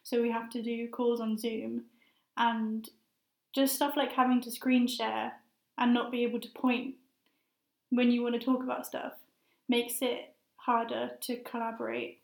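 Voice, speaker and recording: High voiced woman in her 20s, kinda flat and gravelly, lots of ums and likes, echoey room. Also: measured pace. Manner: hesitant, thoughtful, many fillers. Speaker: guest, master's student. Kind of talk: casual conversational interview. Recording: roomy echo.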